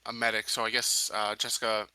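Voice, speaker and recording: Male tabletop player, strikingly bright forceful tone, casual halting planning, close dry sound. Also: fairly low pitch, little pitch movement, smooth, no room sound, poor audio. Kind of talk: casual game planning talk.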